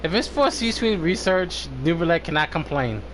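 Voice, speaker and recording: A man with a fairly high, very lively voice commentates casually on the game he is streaming. He talks informally, half to himself, and swears, over clearly noisy audio. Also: moderate pace. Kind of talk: casual live gameplay commentary.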